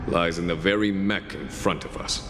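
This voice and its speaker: A man voicing a composed, thoughtful fox-folk general in a video game, speaking in a measured, articulate and solemn way. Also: deep voice, close to an everyday voice. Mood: grave. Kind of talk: scripted video game dialogue. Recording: hall reverb, music behind voice.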